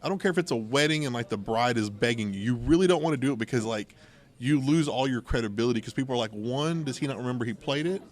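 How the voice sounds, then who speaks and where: A man's voice: mid-range voice, fast. A middle-aged American man hosting a podcast, telling stories conversationally with plenty of digressions and asides. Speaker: podcast host. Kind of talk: casual storytelling and banter. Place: local game store.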